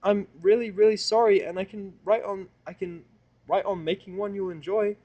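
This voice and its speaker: A man's voice: high voice, fairly even intonation, moderate pace, darkish tone, muffled recording, voice pushed up. A man voicing a hyperactive, childlike female cartoon pony who pleads and gushes. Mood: distraught, pleading. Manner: bouncy, pleading, gushing.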